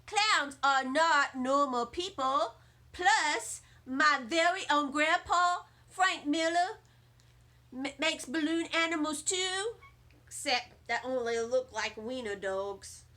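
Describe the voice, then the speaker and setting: Woman playing a bright, high-pitched, excitable little girl. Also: slow, exaggerated voice. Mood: defiant. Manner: childlike ungrammatical chatter, emphatic. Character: excitable, bragging little girl narrating her own story. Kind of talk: storybook read-aloud for children.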